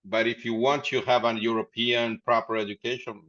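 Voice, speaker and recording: A man's voice: mid-range voice, little pitch movement, a little fast, fairly bright tone. A man, a company founder telling his story in a casual interview, rambling and laughing often. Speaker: podcast guest, company founder. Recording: dull lo-fi sound.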